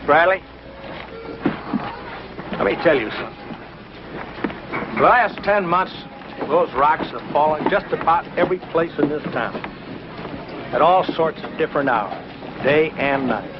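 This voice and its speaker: Curt, defensive town marshal, slightly high voice, vintage TV drama, clearly noisy old soundtrack. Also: male voice, expressive, unhurried, pauses often, lo-fi sound. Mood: blunt, insistent. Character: gruff, unwelcoming male small-town marshal. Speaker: actor in a TV drama. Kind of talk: 1950s television drama acting.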